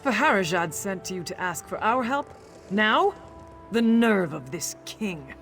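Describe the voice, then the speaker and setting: A desert chieftain's daughter in a video game, proud and hard-willed with a bitter edge. Her voice sits at a medium pitch, with music clearly playing behind it. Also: very animated, unhurried, a bit bright. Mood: bitter, indignant. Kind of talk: video game character dialogue.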